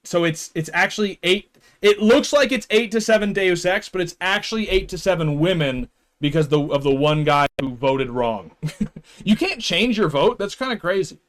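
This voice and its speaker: A man's voice: high voice, lively intonation, normal speed. A young man streaming live, chatting casually with his viewers in a rambling way, chuckling often and trailing off.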